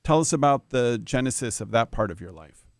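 Smooth-voiced male interview host. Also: medium pitch, animated, no room sound, clean studio sound. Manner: measured, formal phrasing with fillers. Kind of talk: radio-style interview.

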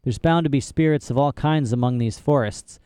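An impatient older clan leader talks bluntly in rustic speech, as a man reading the story puts him on. The voice is mellow and smooth, dry and close. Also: mid-range voice, normal speed, no echo, lightly acted.

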